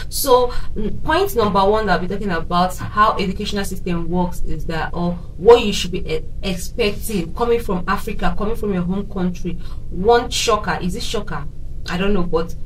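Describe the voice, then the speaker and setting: A woman's voice: medium pitch, distant mic, slight background noise, poor audio. A young woman with a Nigerian accent, chatting to camera in a casual vlog with frequent laughs. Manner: chatty, gesturing, laughing often. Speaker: education vlogger. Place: small indoor room with shelves.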